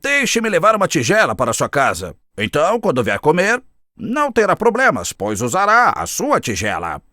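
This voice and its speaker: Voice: mid-range voice, wildly swooping pitch, moderate pace, sharp tone, no room sound, studio quality. An actor voicing a sly, polite fox in a children's cartoon, with exaggerated, theatrical delivery and a Brazilian accent. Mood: cheerful, eager. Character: sly, cheerful, polite fox neighbour, fond of eating.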